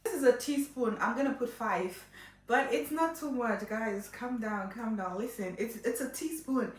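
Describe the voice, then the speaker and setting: A woman's voice: fairly high pitch, moderate pace, roomy sound, distant mic, a little hiss or hum. A young woman with a Nigerian accent chatting playfully to viewers while cooking in her home kitchen, laughing often. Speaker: cooking vlogger. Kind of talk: casual cooking tutorial chat.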